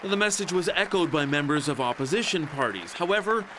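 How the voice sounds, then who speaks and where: A man's voice: a little high, animated, brisk. A man with a Canadian accent delivering scripted news narration as a reporter. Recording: busy crowd and noise behind.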